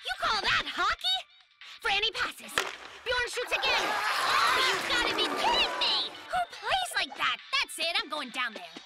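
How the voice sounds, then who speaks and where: A woman voicing a cocky, excitable young male bear cub with showy announcer patter in an animated cartoon. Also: extremely high pitch, measured pace, over loud music, full character voice. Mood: excited commentary.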